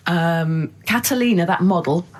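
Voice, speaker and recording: A woman's voice: on the low side, normal speed. A woman in casual chat on a radio show.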